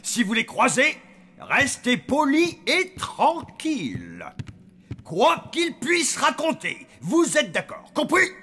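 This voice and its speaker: A man playing a pompous, bossy, excitable zombie gentleman in a French cartoon, with theatrical delivery. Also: extremely high pitch, slow pace, exaggerated voice. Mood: solemn, bossy. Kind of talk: French animated cartoon dub acting. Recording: music behind the voice.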